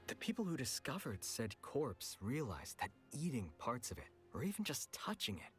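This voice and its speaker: An actor playing a frank, world-weary weapons merchant in a video game, with a casual, blunt manner. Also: male voice, fairly low pitch, close to an everyday voice. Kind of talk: scripted game voice acting. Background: background music.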